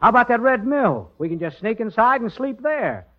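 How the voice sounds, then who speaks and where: An American actor playing a brash, broke, wisecracking comic sidekick in an old radio musical comedy, with a jokey, punchy delivery. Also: male voice, very high voice, big pitch swings, moderate pace. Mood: cheeky, upbeat. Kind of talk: 1940s-50s radio musical comedy acting. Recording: dull old radio recording, faint hiss.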